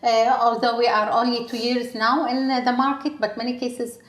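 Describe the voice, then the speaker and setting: A woman's voice: on the high side, flat delivery, moderate pace. A woman from Syria, a hospital chief executive, answering interview questions in English in a hesitant way, with many fillers and restarts. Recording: roomy echo.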